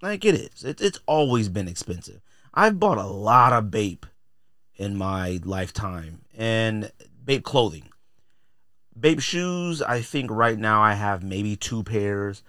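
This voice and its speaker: A man's voice: on the low side, very animated, slow pace, bright, forceful tone, dry recording, studio quality. A middle-aged American man hosting a podcast, talking casually in blunt, slangy, opinionated style. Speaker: podcast host.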